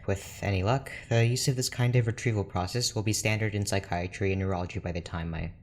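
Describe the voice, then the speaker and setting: A man playing a reserved, formal research doctor in an audio drama, speaking in a measured, dry manner. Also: lowish voice, fairly even intonation, close to an everyday voice. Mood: measured, clinical. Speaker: scientist character in an audio drama. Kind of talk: scripted audio drama monologue and dialogue. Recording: clear background noise with ambience.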